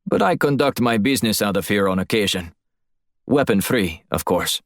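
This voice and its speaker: A man voicing a hulking, smooth, menacing Russian crime boss with a clipped, deliberate Russian accent in an audiobook. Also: fairly low pitch, lively intonation, no echo, studio quality. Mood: coolly welcoming. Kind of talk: audiobook character voice.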